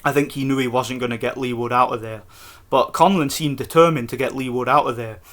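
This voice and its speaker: A man from Scotland giving a casual, excited post-fight review for his vlog, rambling with restarts. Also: medium pitch, fairly quick. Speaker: boxing vlogger.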